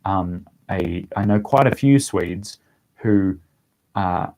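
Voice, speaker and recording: A man's voice: low voice, takes it slowly, mellow, low-quality recording. A man with an Australian accent chatting casually as he answers viewers' questions on a livestream. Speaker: language-learning vlogger answering viewer questions.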